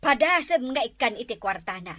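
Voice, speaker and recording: A woman's voice: fairly high pitch, lively intonation, a little fast, sharp tone, dry recording, studio quality. A woman with an Ilocano accent playing a neighbor who gives advice on a home remedy in a comedy drama. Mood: advising.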